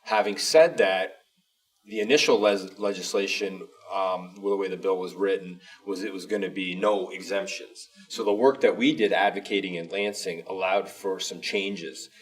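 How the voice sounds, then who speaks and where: A man's voice: low-pitched, normal speed, distant mic. A man speaking as a school superintendent in an informal panel discussion, explaining emphatically in a rambling way. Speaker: school superintendent co-hosting a district show.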